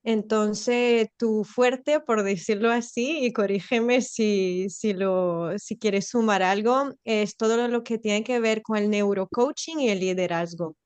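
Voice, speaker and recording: A woman whose first language is French speaks Spanish with a mixed accent, smooth and clear at a middle pitch. She talks in a friendly, halting way, with small restarts, in a dry close sound. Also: darkish tone, dry recording. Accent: mixed international Spanish. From France and Spain. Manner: friendly, hesitant restarts, light laughs. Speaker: co-host of an online talk. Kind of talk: casual conference introduction. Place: in-person event in Valencia.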